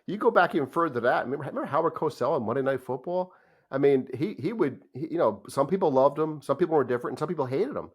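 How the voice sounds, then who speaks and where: A man in his fifties from New Jersey hosting a podcast, chatty and quick to laugh, often restarting and trailing off mid-thought. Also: fairly high pitch, expressive, slightly rough. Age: 50s. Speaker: podcast host. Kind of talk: casual podcast chat.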